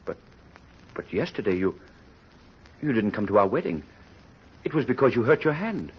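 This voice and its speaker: An actor playing an earnest, hesitant young bridegroom in a 1930s radio drama. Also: male voice, lowish voice, sing-song, moderate pace, husky, heavily acted. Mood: bewildered. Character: earnest, troubled young bridegroom, polite son-in-law. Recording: muffled, noisy old radio recording.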